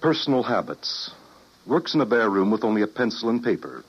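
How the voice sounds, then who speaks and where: Businesslike, cold security agent in vintage radio drama; very low, husky, matter-of-fact. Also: male voice, very animated, brisk, exaggerated voice. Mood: clinical. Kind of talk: mid-century radio drama acting. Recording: muffled old radio broadcast recording.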